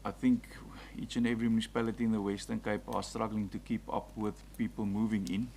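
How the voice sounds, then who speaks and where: A gravelly-voiced man reports to council, reading figure after figure in a steady list with the odd "um". A faint hum sits under the chamber sound. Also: on the low side, fast talker. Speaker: executive mayor.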